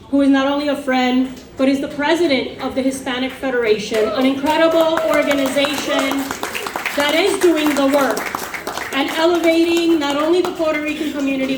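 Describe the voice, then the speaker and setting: A woman with a high voice presents an award, giving warm, prepared praise at a podium. Her voice rings around a large, echoey hall, and a crowd can be clearly heard behind her.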